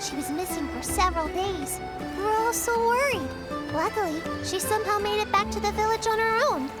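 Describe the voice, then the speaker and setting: A woman voicing a small, excitable young village creature in a video game, chatting and telling tales in an animated, earnest way. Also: very high-pitched, brisk. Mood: earnest, concerned. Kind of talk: video game character dialogue. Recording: game music behind the voice.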